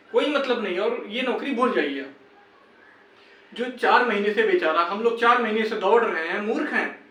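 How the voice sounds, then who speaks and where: Young male campaigner, high voice, urging peers insistently with a reproachful edge; recorded echoey and off-mic. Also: a bit bright. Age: young adult. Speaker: exam candidate and campaign organiser. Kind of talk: motivational appeal to fellow candidates. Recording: echoey room, distant microphone.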